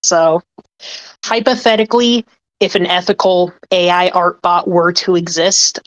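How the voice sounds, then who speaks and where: A woman's voice: lowish voice, dry recording, lo-fi sound. A woman on a group podcast talking about her work as an ASMR creator, earnest and rambling, with many fillers. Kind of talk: casual group discussion.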